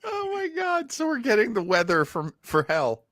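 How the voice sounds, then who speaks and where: A man's voice: extremely high pitch, huge pitch swings, normal speed, a bit bright, no room sound, studio quality. A man co-hosting a comedy podcast with casual, wisecracking reaction chat. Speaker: podcast co-host.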